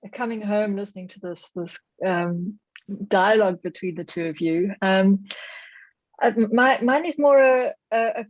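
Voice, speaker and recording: A South African woman speaks over a video call, her voice dull and a little boxed-in in a dry, deadened room. She gropes for words as she goes, restarting her phrases again and again. Her pitch sits in an ordinary middle range. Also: moderate pace, no echo. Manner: searching for words, many restarts. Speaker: audience member asking a question. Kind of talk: online seminar question.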